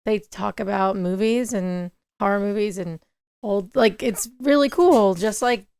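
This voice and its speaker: A woman with a raspy voice co-hosting a podcast in casual chat, reacting with quick short exclamations. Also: medium pitch, a little mellow, dry recording. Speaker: podcast co-host.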